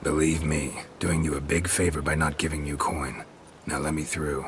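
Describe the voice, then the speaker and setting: A man's voice: level tone, normal speed, slight background noise. An actor playing a weary, terse male monster hunter in a game. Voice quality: low, terse and dry.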